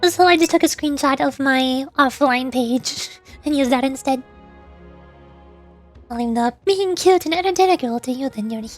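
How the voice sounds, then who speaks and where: A chatty, playful woman talks to her viewers while she plays a game, in a high, bubbly voice. She speaks into a desk microphone, and faint music plays underneath. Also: moderate pace. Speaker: VTuber streamer. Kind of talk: casual live-stream gameplay chat.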